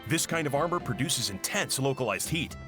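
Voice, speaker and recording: A man's voice: medium pitch, expressive, a little fast, over music, natural voice. A man playing an eager, knowledgeable chef in a video game, explaining things with confidence. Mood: confident, keen.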